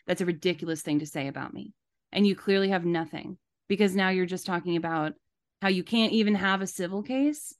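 A woman co-hosting a podcast in casual, opinionated discussion, speaking emphatically and indignantly and repeating points for stress. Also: fairly low pitch. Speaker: podcast co-host.

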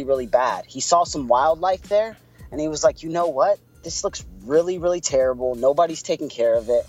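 A husky-voiced man with a Puerto Rican accent, co-hosting a podcast. He tells stories in a loose, chatty way and keeps dropping "man" into his sentences. Soft music plays faintly behind him. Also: on the high side, highs cut off. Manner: relaxed, chatty, says "man" a lot. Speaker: podcast co-host. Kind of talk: casual podcast storytelling.

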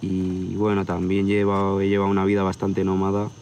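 A man's voice: deep voice, moderate pace, faint music behind. A man of about thirty from near Barcelona, a tattoo artist chatting casually and rambling a little in an outdoor interview. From from near Barcelona, Spain. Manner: relaxed, rambling, with hesitations. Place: outdoor hilltop viewpoint at sunset. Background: crickets and outdoor ambience.

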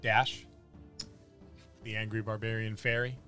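A man narrating a tabletop fantasy game as game master, describing the action vividly and haltingly. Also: fairly low pitch, level tone, bright, forceful tone. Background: background music.

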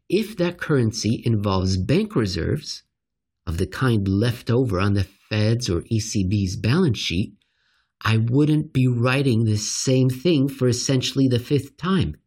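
Guy reading an opinion piece out loud, slow and a bit raspy, mid pitch, punches the key words. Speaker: reader narrating an economics commentary article. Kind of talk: scripted read-aloud commentary.

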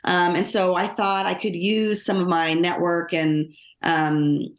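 A woman's voice: on the low side, little pitch movement, slow pace. A middle-aged woman with an American accent, telling her own story conversationally as an interview guest. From Los Angeles, United States. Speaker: podcast guest, lawyer and author. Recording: roomy, echoing sound.

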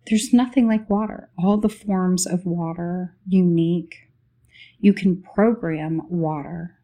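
A woman leading a guided spiritual meditation as host, speaking in short, unhurried phrases with long pauses. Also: lowish voice, steady tone, dark tone.

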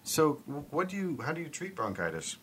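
A man co-hosting a casual podcast, reacting quickly and loudly at times. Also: a little high, sing-song, breathy edge. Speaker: podcast co-host. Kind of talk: casual chat.

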